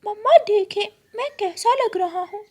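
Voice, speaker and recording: A little bird child for a children's cartoon , put on by a woman. The voice is squeaky and far up in pitch, childlike and eager, with a pleading tone. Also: measured pace. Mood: delighted.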